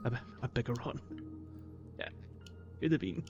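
Slightly muffled audio with music behind; male co-host, mid-pitched, casual, feeling his way through sentences. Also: animated, unhurried, gravelly, a little mellow. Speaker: podcast co-host. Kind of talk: casual chat, loosely explaining gameplay.

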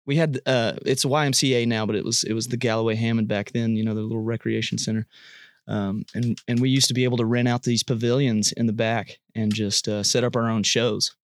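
A man in his late twenties, a band member chatting casually in a recording studio, rambling and quick to laugh. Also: medium pitch, steady tone, no echo. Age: 20s. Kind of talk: casual band interview chat. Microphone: studio mic.

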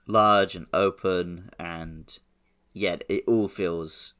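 A man's voice: deep voice, fairly even intonation, drawn-out words, a bit bright. A man co-hosting a podcast, giving his opinions on games in a casual, conversational way. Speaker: podcast co-host. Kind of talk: casual game discussion.

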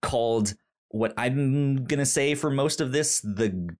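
A man hosting a casual book discussion, halting and rambling, with a stutter that repeats sounds and a self-deprecating manner. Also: mid-range voice, a little mellow. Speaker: podcast host.

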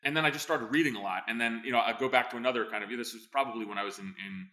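A man in his fifties, born in Greece and raised in New Jersey, telling stories as an interview guest in a quick, energetic way with many fillers and restarts. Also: mid-range voice. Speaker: guest, former intelligence officer. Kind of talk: conversational interview answers.

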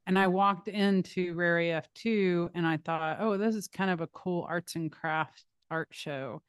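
A woman's voice: fairly low pitch, unhurried, clean tone, dry recording, clean studio sound. A woman recounting memories in a casual conversation, talking in a hesitant way that often trails off and restarts. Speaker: podcast guest.

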